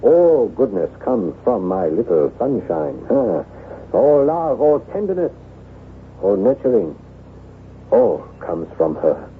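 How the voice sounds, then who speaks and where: A besotted nobleman of a chateau in an old radio play, effusive and theatrical. His pitch swings widely, with a gravelly creak at the edges. The sound is thin and boxy, like a vintage broadcast. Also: huge pitch swings, slow pace, heavily acted. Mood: rapturous. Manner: theatrical, effusive, gushing over his bride. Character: besotted newlywed lord of a chateau, haunted by guilt. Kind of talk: 1970s radio drama acting. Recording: tinny, band-limited old radio recording with hiss.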